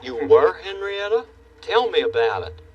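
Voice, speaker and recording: Childlike little owl, muffled sound. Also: male voice, high-pitched, sing-song, normal speed, breathy edge, heavily acted. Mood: earnest, curious. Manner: boyish, folksy, eager. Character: friendly, childlike male owl puppet, small and earnest. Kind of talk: children's television puppet play. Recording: muffled, distant old TV soundtrack.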